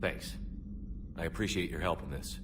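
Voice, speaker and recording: Deep, blunt soldier hero voiced by a man. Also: moderate pace, noisy background, natural voice. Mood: even, courteous. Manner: terse, blunt, matter-of-fact. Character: steady, blunt human soldier hero, a commander. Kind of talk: video game voice acting.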